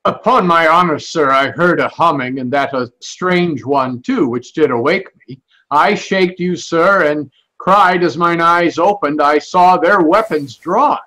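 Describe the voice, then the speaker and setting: An actor playing a loyal, earnest old royal counsellor in a Shakespearean radio play, declamatory in manner. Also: male voice, a little high, unhurried, sharp tone, poor audio. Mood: startled, earnest.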